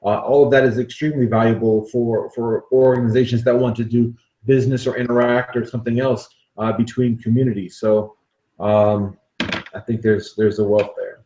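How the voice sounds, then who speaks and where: A man hosting a podcast interview, talking in a thoughtful, halting way with many fillers and restarts. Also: medium pitch, little pitch movement, mellow. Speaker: podcast host. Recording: roomy echo.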